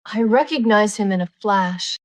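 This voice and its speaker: A man voicing a spirited, flirtatious English duchess in an audiobook, with a coy falsetto and quick retorts. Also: lively intonation, no echo. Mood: coy, playful. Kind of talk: audiobook character dialogue.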